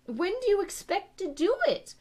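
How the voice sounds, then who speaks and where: A woman's voice: extremely high pitch, sing-song, normal speed, darkish tone, pitched above the performer's own voice. A woman voicing an earnest, puzzled, polite young girl in a storybook, with a questioning manner. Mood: concerned. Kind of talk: storybook character voice.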